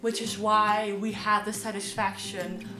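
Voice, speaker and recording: A woman's voice: medium pitch, level tone, unhurried. Playful Danish woman over guitar music. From Denmark. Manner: playful and joking. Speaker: vlogger. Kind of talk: scripted vlog monologue. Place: small room indoors. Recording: echoey room with background guitar music.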